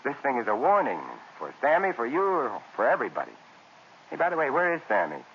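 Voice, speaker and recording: An actor playing a suave, witty gentleman detective with a dry, sardonic manner, in 1940s radio acting. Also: mid-range voice, huge pitch swings, a little fast. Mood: probing. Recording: old radio broadcast, dull with faint hiss.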